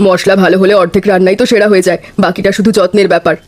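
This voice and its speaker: A woman's voice: medium pitch, little pitch movement, rapid-fire, background noise, close to an everyday voice. A woman voicing a kindly older village mother in a cartoon, speaking affectionately and giving practical instructions. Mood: instructive. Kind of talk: scripted cartoon dialogue.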